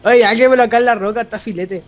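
A man's voice: very high-pitched, steady tone, racing, lo-fi sound, a little hiss or hum. A playful Chilean male chatting in slang with teammates during an online game. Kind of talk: casual chat while playing an online game. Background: video game gunfire.

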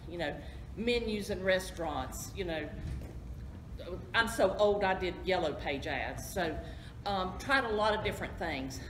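A middle-aged woman, a travel agent, giving a friendly, self-deprecating talk to an audience in a hotel meeting room. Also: lowish voice. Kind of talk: informal presentation to an audience. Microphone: far camera, no mic. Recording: echoey room, noisy.

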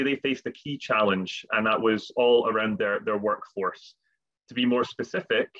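A man's voice: medium pitch, fairly bright tone. A man in his thirties presenting a business webinar on a video call, often repeating words as he goes. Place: home office, video call. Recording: dull lo-fi video call audio.

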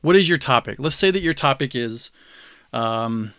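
A man casually walking viewers through a software tool on his screen, thinking aloud with fillers and small chuckles. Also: medium pitch, expressive, moderate pace, dry recording, clean studio sound. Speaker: screencast tutorial host.

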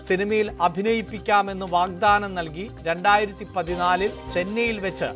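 A man's voice: high voice, fairly even intonation, normal speed. A middle-aged man with a Kerala accent presenting live television news. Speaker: news anchor. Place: TV news studio. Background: news music bed.

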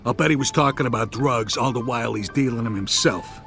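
An actor playing a middle-aged American mob underboss, friendly but calculating, with casual streetwise tough talk in a crime game. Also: male voice, medium pitch, fairly quick, fairly bright tone, faint music behind, lightly acted. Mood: scheming. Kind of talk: cinematic crime game dialogue.

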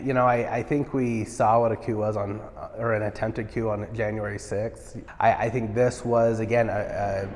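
A man's voice: fairly low pitch, level tone, moderate pace, few pauses, a little mellow, big-room sound. A man in his thirties, a party official, answering interview questions earnestly with many "uh" fillers, on a lapel mic in a marble stairwell. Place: large marble stairwell indoors.

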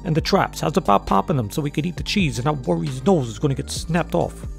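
A man's voice: on the high side, expressive, quick pace, gravelly, soft background music, voice pushed up. A man voicing a male parrot who bargains on behalf of a rat boss. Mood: wheedling. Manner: wheedling, bargaining. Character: fast-talking male parrot go-between.

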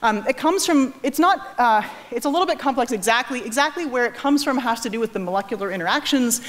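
This is a woman with a New England American accent and a somewhat high-pitched voice. She lectures informally, like a professor talking to a general audience. Her explanations are animated and often double back as she rephrases herself. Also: quick pace. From New England, United States. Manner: animated explaining, self-correcting with frequent false starts. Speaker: physics professor giving a lecture. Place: large auditorium stage.

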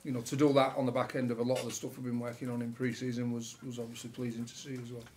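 A man, a rugby coach answering questions at a post-match press conference with relaxed, halting answers full of fillers. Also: mid-range voice, slight background noise. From England. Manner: relaxed, many fillers and restarts. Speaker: rugby league head coach. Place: press room with sponsor board behind.

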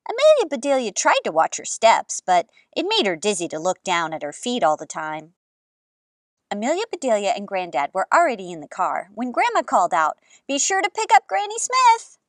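A woman in her thirties hosting a children's story time, reading and chatting with expressive, exaggerated enthusiasm. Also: mid-range voice, a little fast, no echo, clean studio sound.

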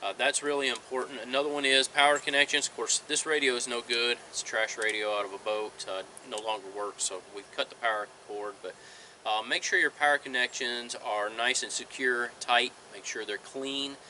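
A man's voice: medium pitch, fairly even intonation, normal speed, sharp tone, poor audio. A man in his forties giving plain-spoken, matter-of-fact instructional tips to camera, recorded outdoors. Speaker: marine electronics installer giving tips. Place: outdoors in a fenced backyard. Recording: outdoor ambience, faint background noise.

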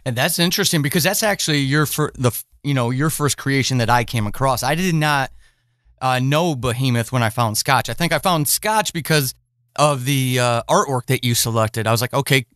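A man's voice: mid-range voice, brisk, fairly bright tone, no echo, clean studio sound. A man hosting a podcast interview in a casual, earnest and encouraging way.

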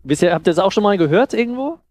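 A man in his thirties with a slightly high voice gives an informal talk. He explains things in a conversational way, as a speaker at a small event would, into a headset microphone. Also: expressive, racing, a little mellow, dry recording. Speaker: festival speaker, sound designer. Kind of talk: informal lecture with audience questions. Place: indoor festival stage with couch.

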